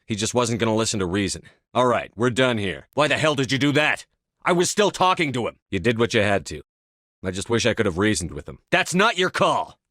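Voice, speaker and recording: A steadfast male space-marine commander for a video game. His voice sits low, and he speaks in clipped, authoritative phrases. The recording is dry and close, with no room around it. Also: sing-song, brisk, a bit bright, no room sound. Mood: stern, forceful. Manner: clipped, authoritative military delivery. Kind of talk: video game dialogue lines.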